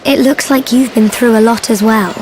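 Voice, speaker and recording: An actress playing a gentle, earnest young woman in a video game cutscene, soft-spoken, hesitant and sincere. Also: fairly high pitch, fairly even intonation, moderate pace, slight background noise, natural voice, poor audio. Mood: relieved, gentle. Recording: loud orchestral string music behind. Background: violin-led game score.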